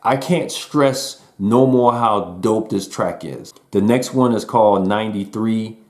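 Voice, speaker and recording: Casual male host for a music review, low-pitched, relaxed slangy delivery with fillers. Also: a little slow. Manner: laid-back slangy talk, lots of "man" and "um". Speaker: album reviewer.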